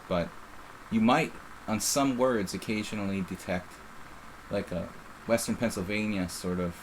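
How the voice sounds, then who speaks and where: A man's voice: low voice, slight background noise, poor audio. A middle-aged man with a faint Philadelphia accent, casually commenting on his own live chess game in a rambling, self-interrupting way. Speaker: chess streamer.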